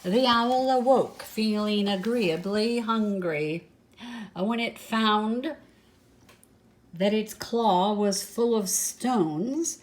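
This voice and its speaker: Slow-reading older woman, grandmotherly storyteller. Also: medium pitch. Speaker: grandmother reading a picture book aloud. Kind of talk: storybook read-aloud with soft asides.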